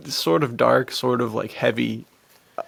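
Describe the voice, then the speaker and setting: A man of average pitch chats informally as a podcast co-host. His voice is thick with creak and fry. He rambles in loose, slangy phrases and sounds amused by his subject. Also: a bit bright, dry recording. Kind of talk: casual podcast discussion.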